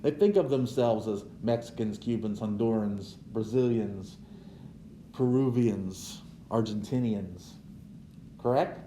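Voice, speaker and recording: Slow-talking male teacher in an echoey room. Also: fairly low pitch, warm tone, slight background noise. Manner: unhurried, with filler "uh" and "right?" checks. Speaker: social studies teacher. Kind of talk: classroom history lecture.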